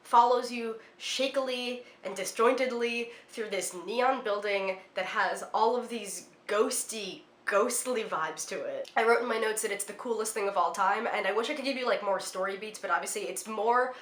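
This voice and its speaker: Young female film vlogger, slightly congested, chatty with lots of fillers, bright voice, echoey room. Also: mid-range voice, normal speed, hardly any pauses. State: congested from a cold. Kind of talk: casual film recommendations to camera.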